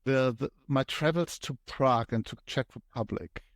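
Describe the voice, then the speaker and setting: A man with a German accent, a podcast guest explaining things step by step with frequent thoughtful pauses. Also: medium pitch, dry recording, studio quality. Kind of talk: conversational interview explanation.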